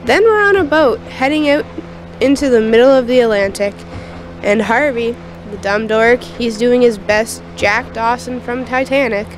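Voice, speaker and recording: A woman's voice: on the high side, normal speed, soft background music, close to an everyday voice, poor audio. A woman playing a teenage girl patient in a radio drama, confiding her eerie nightmares in a colloquial, youthful way. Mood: uneasy. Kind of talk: first-person radio drama narration and dialogue.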